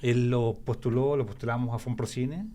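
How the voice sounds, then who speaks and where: A man from Chile, a photojournalist guest, answering calmly and often closing his phrases with a tag question. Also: medium pitch, moderate pace, a loud crowd. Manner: calm, tags phrases with "no?". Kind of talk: interview answers.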